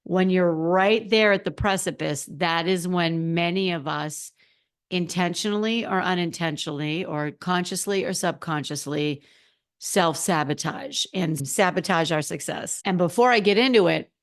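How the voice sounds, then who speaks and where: A middle-aged woman hosting a casual solo podcast, a little hoarse, chatty and animated, talking straight to her listeners as a coach. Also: fairly low pitch, normal speed.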